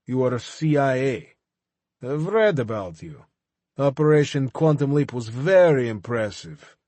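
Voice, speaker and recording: A man voicing a taunting, fanatical male arms smuggler, higher and louder than his narration, with slow drawn-out gloating. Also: sing-song, dry recording.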